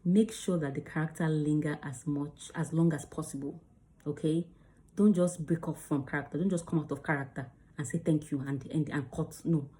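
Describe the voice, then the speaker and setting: Female acting coach, chatty and emphatic, with a low, warm voice. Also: fairly even intonation, moderate pace. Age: adult under 35. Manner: chatty, emphatic, demonstrates lines. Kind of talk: casual teaching to camera.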